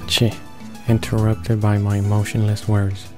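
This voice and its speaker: A man reading visual novel text aloud for a gaming video, halting now and then with stumbles and repeated words. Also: lowish voice, monotone, darkish tone. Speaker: let's play host reading along. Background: game music.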